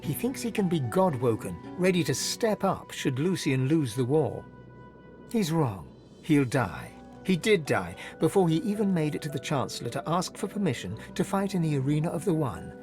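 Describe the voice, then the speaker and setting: Animated, solemn fantasy game narrator. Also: male voice, a little high, soft background music. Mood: ominous. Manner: measured, dramatic. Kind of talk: scripted dramatic narration.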